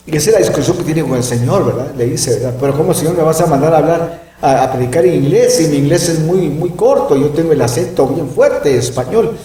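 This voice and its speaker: A man's voice: mid-range voice, dark tone, recorded from a distance. A middle-aged man teaching a Bible lesson, emphatic and given to asking "¿verdad?" as he explains. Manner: emphatic, peppers speech with "¿verdad?". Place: room with closed blinds. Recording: echoey room, voice off-mic.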